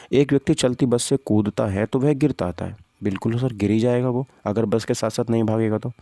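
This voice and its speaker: A man's voice: medium pitch, vocal fry, dark tone, no echo. A man teaching an informal physics exam-prep class, conversationally repeating points and posing questions to students. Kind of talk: informal exam-prep lesson, walking through questions and answers.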